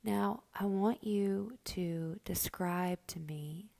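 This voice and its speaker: A woman guiding a meditation in a soft, breathy voice that is often close to a whisper, giving gentle, slow instructions with long pauses. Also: medium pitch. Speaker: guided meditation host. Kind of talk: slow guided meditation narration.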